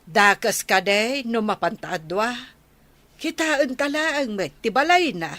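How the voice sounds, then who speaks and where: Sharp, nagging village woman. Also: a little high, lively intonation, slow, dry recording. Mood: nagging, curious. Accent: Ilocano. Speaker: radio drama actress. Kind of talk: Ilocano comedy radio drama acting.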